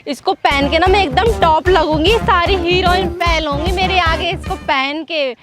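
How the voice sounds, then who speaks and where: A woman's voice: extremely high pitch, normal speed. A woman playing a vain, self-centred young mother in a moral-story skit, with exclamatory, theatrical delivery. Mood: delighted, eager. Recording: music under the voice.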